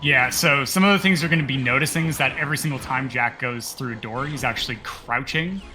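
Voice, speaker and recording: Man, bright forceful tone, enthusiastic, explanatory game commentary, soft music behind. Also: medium pitch, fairly quick. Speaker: co-commentator at a speedrun marathon.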